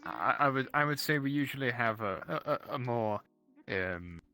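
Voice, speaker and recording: Halting genteel man playing courteous fantasy gentleman. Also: medium pitch, lively intonation. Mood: agreeable. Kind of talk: improvised in-character roleplay chat.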